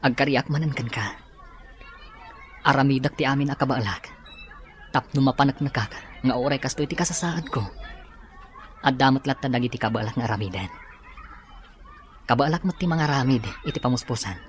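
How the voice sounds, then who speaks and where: A man's voice: mid-range voice, fairly quick, pauses often, lightly acted. A man playing a humble, grateful young working man in love in an audio drama, on a slightly noisy recording. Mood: tender, grateful. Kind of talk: Ilocano audio drama acting.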